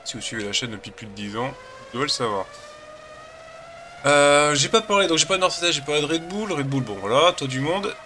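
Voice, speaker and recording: A man's voice: a little high, expressive, a little fast, pushed and bright, a little hiss or hum. A man with a cold chatting casually as a gaming channel host, rambling and hesitant while he plays a racing game. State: has a cold.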